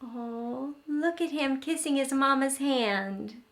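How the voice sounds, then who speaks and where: A woman reading a storybook aloud to young children, expressive and instructive, pausing to ask questions and explain. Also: sits high. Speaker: read-aloud host teaching young children.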